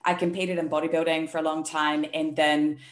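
Low-voiced New Zealand woman, echoey room. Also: fairly quick. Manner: relaxed and open, with many ums. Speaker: guest, health and performance coach. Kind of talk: casual interview chat.

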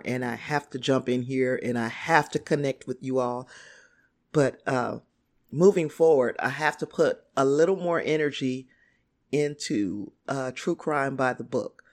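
A man hosting his own podcast solo, hoarse and raspy as he loses his voice, rambling with frequent throat clearing. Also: medium pitch. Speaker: podcast host.

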